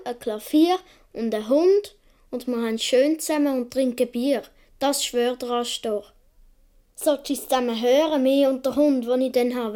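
A woman's voice: high voice, mellow. A woman with a Swiss accent playing an imaginative boy of seven or eight in a radio play, telling things in an earnest, dreamy way. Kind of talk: radio play monologue, childlike storytelling.

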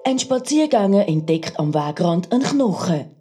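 A woman's voice: lowish voice, sing-song, normal speed, dark tone, husky. A woman playing a conceited radio crime reporter giving a dramatic news report in a radio play. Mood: ominous.

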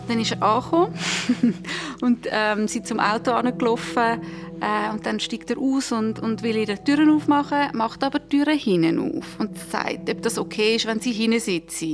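A woman's voice: a little high, moderate pace, over music. A woman with a Swiss German accent telling anecdotes casually as a podcast co-host, informal and giggly.

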